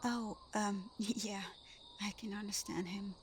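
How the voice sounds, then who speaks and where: A woman playing Santa's gentle, bashful adopted daughter in an ASMR roleplay, soft-spoken, hesitant and apologetic. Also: medium pitch, steady tone, slow pace, slight background noise, lightly acted. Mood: gently explaining. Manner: soft-spoken, hesitant, breaking into little giggles.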